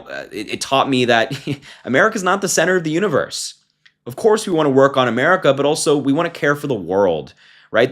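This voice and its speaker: A young man in his twenties with a slightly low voice delivers casual political commentary straight to camera. He mocks his critics in a combative, informal way, with plenty of fillers and restarts along the way. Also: a bit bright. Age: 20s. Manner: mocking, combative.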